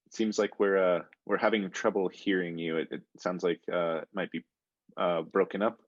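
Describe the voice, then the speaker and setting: A man who is a coding instructor, leading an online class and calling on students, friendly and halting with lots of ums and restarts. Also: low-pitched, level tone, dry recording. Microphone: video call. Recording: muffled video call audio.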